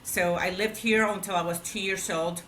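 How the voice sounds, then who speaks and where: Bright woman CEO. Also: mid-range voice, moderate pace, echoey room, a little hiss or hum, rough recording. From Colombia. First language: Spanish. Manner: warm, animated storytelling with fillers. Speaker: CEO and guest. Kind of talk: interview storytelling.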